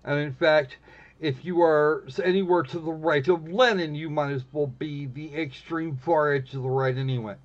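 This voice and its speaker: A man giving casual, snarky live commentary on a game, blunt and halting, often restarting his phrases. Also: fairly high pitch, steady tone. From United States. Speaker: live streamer playing a visual novel. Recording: dull, lo-fi stream audio.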